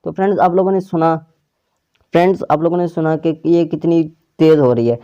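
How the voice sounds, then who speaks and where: A man's voice: fairly high pitch, fairly quick, warm tone, clear, resonant tone, lo-fi sound. A man hosting a casual tech tutorial, explaining an app step by step to viewers. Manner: step-by-step instructions addressed to viewers.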